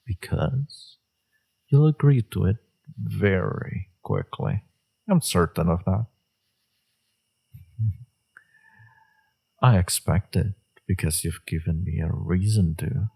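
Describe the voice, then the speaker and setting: A very deep, dark-toned male villain acted by a man murmurs through a romance roleplay. His voice is husky and takes its time. He is smug and seductive, teasing his enemy with complete self-assurance. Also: very animated, measured pace, full character voice. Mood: seductive, confident. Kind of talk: romantic audio roleplay, intimate monologue.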